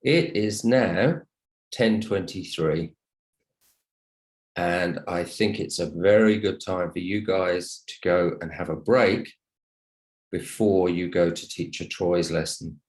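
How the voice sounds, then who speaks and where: A man's voice: low voice, takes it slowly, a little mellow, low-quality recording. A man teaching English online, talking to his students in a polite, encouraging way. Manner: polite, encouraging, thanks listeners often. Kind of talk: online lesson talk to students. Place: online video call session.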